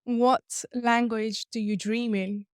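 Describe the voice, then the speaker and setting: A young woman hosting an interview podcast, curious and lively, asking follow-up questions. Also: on the high side, slow pace, smooth, no room sound, clean studio sound. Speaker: podcast host. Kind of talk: interview podcast, scripted intro then chat.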